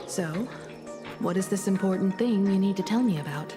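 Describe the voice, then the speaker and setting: A woman's voice: medium pitch, moderate pace, natural voice. Woman playing a composed, devoted grown daughter over music. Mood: concerned. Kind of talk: video game voice acting. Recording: music under the dialogue.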